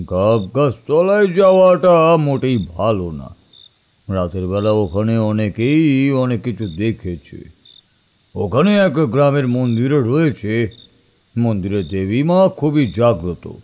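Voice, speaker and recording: Man acting an animated older village uncle storyteller. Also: mid-range voice. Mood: cautioning. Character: older village uncle telling eerie tales, unhurried, opinionated. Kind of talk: Bengali audio drama storytelling.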